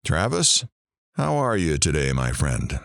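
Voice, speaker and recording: Man acting a sardonic radio DJ with swooping pitch. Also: huge pitch swings, measured pace, creaky, fairly bright tone, slight background noise, exaggerated voice. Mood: wry, brisk. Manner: dry, sarcastic, theatrical delivery. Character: sardonic, deadpan male radio morning DJ. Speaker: radio talk show host. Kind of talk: radio show patter in audio drama.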